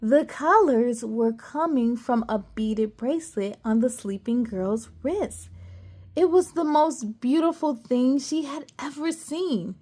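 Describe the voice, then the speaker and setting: Animated woman reading a children's story. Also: sits high, slow pace. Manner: animated, playful, gasps and comments to listeners. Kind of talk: children's read-aloud with chatty asides.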